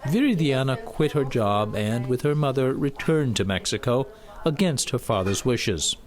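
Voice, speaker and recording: A man narrating a news report in a measured, scripted delivery. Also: mid-range voice, lively intonation. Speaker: news correspondent.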